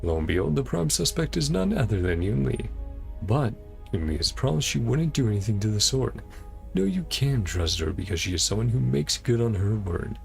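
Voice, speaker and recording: A man's voice: medium pitch, very animated, moderate pace, gravelly, faint music behind. A man streaming a game playthrough, reading dialogue aloud and commenting casually in offhand fragments. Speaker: gaming streamer.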